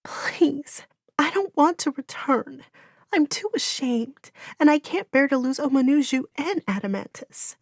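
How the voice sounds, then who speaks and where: Woman acting a high-pitched, grief-stricken young girl. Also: animated, measured pace, no room sound, studio quality. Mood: pleading, ashamed. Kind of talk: audiobook character dialogue.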